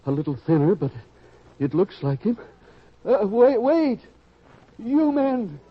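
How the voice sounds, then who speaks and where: A man playing an elderly, loving farmer father in old-time radio style, earnest and pleading, with a husky voice. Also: high-pitched, very animated, slow pace, heavily acted. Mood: urgent pleading. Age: older. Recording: dull lo-fi radio drama sound.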